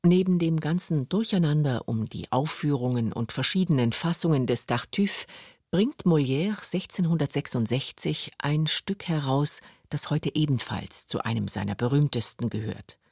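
A man's voice: a little high, lively intonation, normal speed, darkish tone, no echo, clean studio sound. A man narrating a scripted radio documentary. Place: dry studio.

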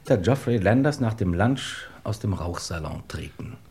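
An actor playing a self-assured police inspector in a German radio crime play, polite, pointed and matter-of-fact. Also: male voice, fairly low pitch, animated, normal speed, gravelly, a little mellow, slight background noise. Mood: brisk, polite.

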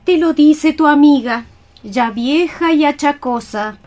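An old cockroach witch and healer in poetic radio theatre. She speaks high and very slowly, solemn and declamatory, like an oracle. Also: darkish tone. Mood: ominous warning. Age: older. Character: ailing old female cockroach sorceress, ominous healer and friend.

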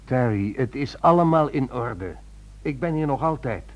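An actor playing an earnest, soothing crisis-line helper in a radio drama, calm and reassuring. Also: male voice, mid-range voice, moderate pace, close to an everyday voice. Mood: soothing, hurried.

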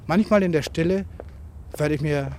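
A man's voice: fairly high pitch, normal speed, a little mellow. A man speaking an improvised, introspective monologue into a tape recorder in fragmented observations. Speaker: writer. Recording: noisy home tape recording.

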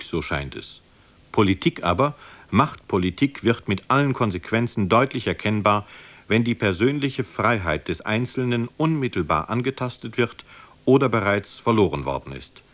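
A man reading scripted narration as the presenter of a 1960s German radio documentary. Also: fairly low pitch.

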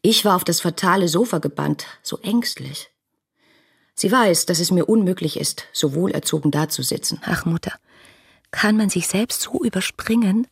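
An actress playing an ardent young woman in love, narrating her letters in a breathy, dreamy voice in a German radio play. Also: mid-range voice, exaggerated voice. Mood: dreamy, intimate. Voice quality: breathy, often near whispering.

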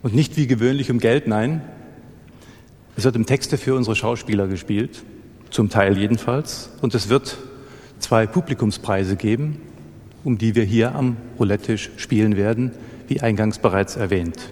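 A man presenting a staged literary evening, introducing it in measured, separate phrases. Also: lowish voice, darkish tone. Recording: reverberant hall with music.

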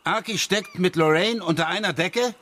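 A man's voice: high voice, normal speed, bright, forceful tone. An actor playing a defensive, exasperated ex-policeman with a sarcastic edge in a radio crime drama. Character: defensive, exasperated ex-policeman suspected of hurting his girlfriend.

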